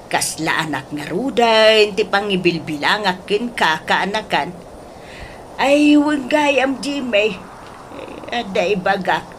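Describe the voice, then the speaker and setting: A woman playing a pleading middle-aged mother and aunt in an Ilocano radio drama, speaking slowly and emotively with an Ilocano Filipino accent. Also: mid-range voice, expressive, slight background noise. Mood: coaxing. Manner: slow, drawn-out, emotive delivery.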